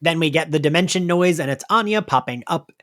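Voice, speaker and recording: A man co-hosting a podcast, recapping a show in casual, chatty talk full of excitable tangents. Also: on the high side, a little fast. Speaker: podcast co-host. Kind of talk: casual recap chat about an audio drama.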